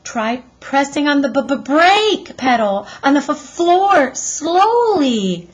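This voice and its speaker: Storybook role: nervous, careful little boy, pitched up, halting and exclamatory played by a woman reader. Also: sing-song, heavily acted. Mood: panicked. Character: cautious, anxious young boy in a storybook.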